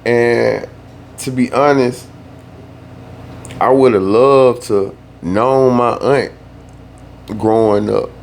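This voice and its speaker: A young man from the Southern US telling a family story in a laid-back, slangy way that turns animated when he gets worked up. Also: mid-range voice, drawn-out words, creaky, long pauses, a little hiss or hum. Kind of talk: casual storytime.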